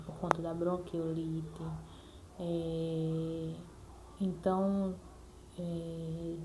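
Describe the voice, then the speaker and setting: A woman's voice: on the low side, dark tone, a little hiss or hum, poor audio. A Brazilian woman talking casually and earnestly to viewers as a mother, repeating herself and adding frequent check-in tags. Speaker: mother sharing her experience in a vlog. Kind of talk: casual personal talk to viewers.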